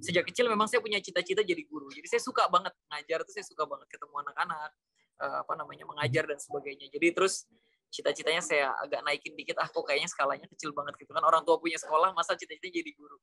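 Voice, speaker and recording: A man's voice: on the high side, very fast, sharp tone, dry recording, rough recording. A man from Indonesia speaking as an interview guest, informally telling personal stories and mixing in English words. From Cirebon, West Java, Indonesia. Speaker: interview guest, Islamic school educator. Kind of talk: conversational personal storytelling.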